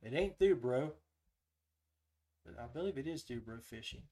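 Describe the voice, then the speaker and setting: A man's voice: medium pitch, lively intonation, normal speed, warm tone. A man hosting a casual live tutorial, thinking aloud as he demonstrates each step. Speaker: podcast host giving a software tutorial.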